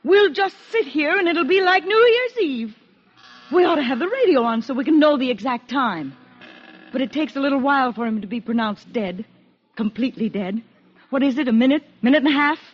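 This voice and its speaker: A woman's voice: high voice, expressive, fairly quick. An actress playing a bitter, defiant woman in a radio drama, speaking in a pointed, goading way. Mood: bitter, morbid. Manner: pointed, needling, probing. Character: bitter, goading, defiant sister of a condemned man. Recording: dull band-limited radio drama recording.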